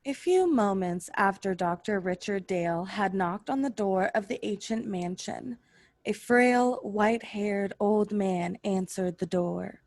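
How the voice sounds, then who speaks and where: A woman playing a solemn, ominous narrator in the style of 1940s radio drama, slow and theatrical. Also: medium pitch, darkish tone, no echo, close to an everyday voice. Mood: solemn, suspenseful.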